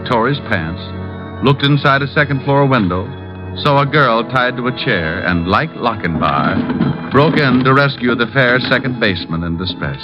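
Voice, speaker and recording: A man's voice: mid-range voice, lively intonation, normal speed, over music, natural voice. An actor playing a wry, self-mocking male private detective who narrates his case in 1940s radio style. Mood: wry, brisk. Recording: muffled old radio broadcast, musical backing.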